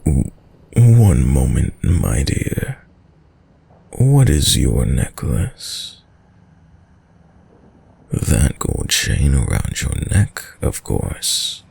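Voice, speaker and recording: A man playing a dominant, sadistic vampire lord in an intimate audio roleplay, speaking in a deep, breathy, gravelly voice with courtly, teasing menace. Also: sing-song, darkish tone, exaggerated voice. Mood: courteous, teasing. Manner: slow, silky, formal, teasing menace with drawn-out pauses.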